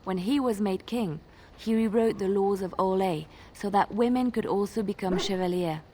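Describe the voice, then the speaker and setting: A woman's voice: medium pitch, normal speed, warm tone, close to an everyday voice, rough recording. An actress playing an earnest female bard in a video game, recounting a legend in a reverent storytelling manner. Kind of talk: video game character acting.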